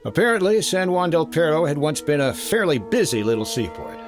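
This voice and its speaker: Man as wry American insurance sleuth, slightly high voice, clipped, old-time radio drama style, music behind. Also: very animated, fairly quick. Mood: dry, matter-of-fact. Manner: clipped, dry, hard-boiled. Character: brisk, wry male freelance insurance investigator. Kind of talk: 1950s detective radio drama acting, first-person case narration.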